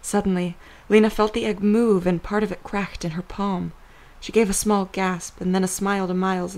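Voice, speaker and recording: A woman's voice: medium pitch, vocal fry, a little mellow, rough recording. A woman narrator reading a scripted story for an audio drama.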